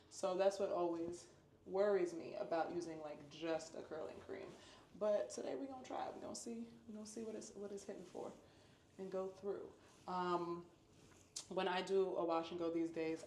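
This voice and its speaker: Woman, hair-care vlogger, low register; casual and upbeat, repeats words for emphasis; echoey home room. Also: a little hiss or hum. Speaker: natural hair YouTuber. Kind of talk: casual product review vlog.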